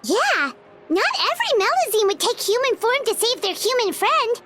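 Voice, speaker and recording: A woman voicing a tiny, excitable, childlike companion in a video game, cutesy, blunt and exclamatory. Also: very high voice, moderate pace, slight background noise, full character voice. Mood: sympathetic, wondering. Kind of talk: video game dialogue acting.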